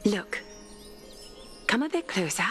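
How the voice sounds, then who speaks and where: A woman playing an elegant, shrewd master seamstress in a video game, measured and imperious. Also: medium pitch, big pitch swings, faint music behind. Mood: poised. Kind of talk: video game story voice acting.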